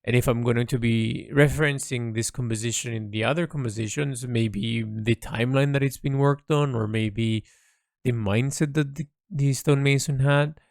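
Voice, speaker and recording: A man's voice: medium pitch, fairly even intonation, moderate pace, vocal fry, a little mellow, no echo, clean studio sound. A man keeping a reflective, unscripted audio log as an artist and writer, speaking hesitantly and often searching for the right word. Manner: hesitant, searching for words, trailing into "sort of". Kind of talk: reflective solo monologue, casual and unscripted.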